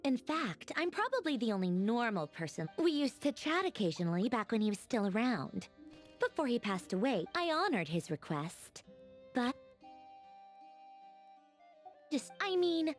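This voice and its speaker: A woman's voice: on the high side, big pitch swings, moderate pace, soft background music. An actress playing a haughty, witty woman inventor in a video game, guarded yet wistful, speaking in a wry, articulate way. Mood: guarded, flustered. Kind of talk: scripted video game story dialogue.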